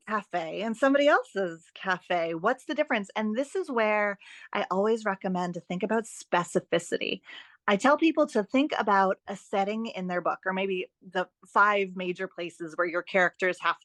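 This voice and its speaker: A woman's voice: mid-range voice, dry recording. A woman giving advice as a guest in a podcast interview, speaking emphatically and encouragingly and often posing rhetorical questions. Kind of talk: podcast interview, explanatory talk.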